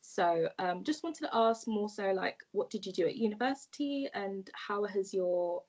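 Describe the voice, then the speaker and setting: A woman's voice: medium pitch, lively intonation, normal speed, a little mellow. A woman hosting a casual interview, asking questions in a chatty way full of fillers like um and you know. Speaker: interview host. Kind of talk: casual fireside chat interviewing. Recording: dull lo-fi audio.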